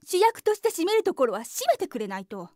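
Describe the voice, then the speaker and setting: An actress playing a stern, sharp-tongued wife who speaks in a curt, scolding manner in a voice drama. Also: female voice, extremely high pitch, sing-song, brisk, no echo, studio quality. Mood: angry, scolding. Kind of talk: voice drama acting.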